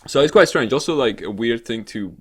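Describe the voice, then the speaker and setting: A man co-hosting a gaming show in casual, unscripted chat, rambling and halting, with a joking way of talking. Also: mid-range voice, expressive, husky. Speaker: co-host of a weekly gaming news show.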